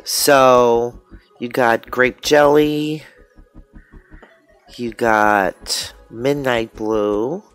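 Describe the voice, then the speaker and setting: A woman's voice: rumbling low, steady tone, drawn-out words, long pauses, faint music behind. A woman with a congested, nasal voice from a cold, chatting informally and a little ramblingly as she presents a hands-on craft unboxing. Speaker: craft vlogger. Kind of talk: casual hands-on unboxing chat. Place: indoors at a craft table.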